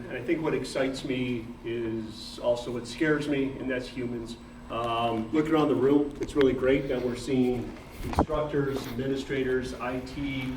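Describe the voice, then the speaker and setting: A man's voice: medium pitch, distant mic. Man in a noisy, echoey room. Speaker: team director introducing himself. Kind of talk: informal introduction to a group. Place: meeting room.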